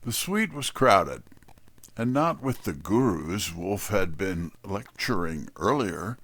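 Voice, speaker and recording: A man plays a streetwise detective's assistant telling a hardboiled mystery. His delivery is dry and wry, slow, with a gravelly fry and a lively, shifting pitch. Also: no room sound, heavily acted. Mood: dry, noirish. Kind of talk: hardboiled first-person mystery narration.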